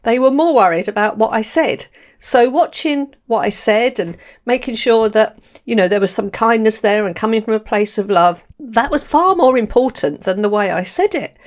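A woman's voice: fairly high pitch, moderate pace. Candid London woman podcasting. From London, England. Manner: candid, animated, laughs often. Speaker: podcast host. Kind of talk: personal monologue.

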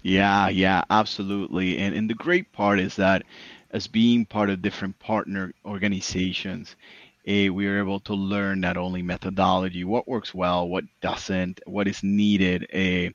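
A man's voice: sits low, flat delivery, normal speed, no room sound, dull audio. A man who founded a consulting firm, talking earnestly in an interview and often adding "right?". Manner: earnest, repeats words, tag "right?". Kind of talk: business interview.

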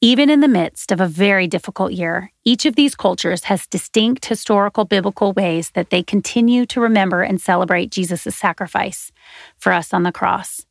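Woman podcast host with a gravelly edge. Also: mid-range voice, moderate pace, dry recording, clean studio sound. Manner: earnest, steady reading with faith language. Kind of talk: scripted podcast narration.

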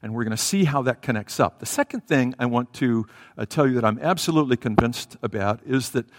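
A man's voice: mid-range voice, expressive, brisk. Man preaching in a reverberant church. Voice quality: self-described loud voice. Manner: conversational and hesitant, with fillers and restarts. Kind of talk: sermon with Bible reading and prayer. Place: church sanctuary. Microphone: headset microphone. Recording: reverberant hall.

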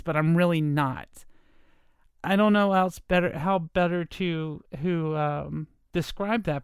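A woman's voice: lowish voice, clear, resonant tone, no echo, studio quality. A woman in her fifties hosting a solo podcast, talking in a rambling, hesitant way with many fillers, her voice a bit nasal from stuffy sinuses. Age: 50s. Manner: rambling, hesitant, self-deprecating with frequent fillers. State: stuffy nose from allergies. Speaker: podcast host. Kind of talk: casual solo podcast monologue.

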